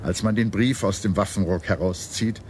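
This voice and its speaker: A man narrating a scripted history documentary in a measured, serious manner. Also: fairly low pitch, a little mellow, slight background noise.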